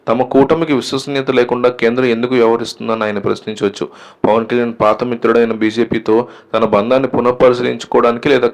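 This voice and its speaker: A man delivering scripted political news commentary in a formal presenter's manner. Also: medium pitch.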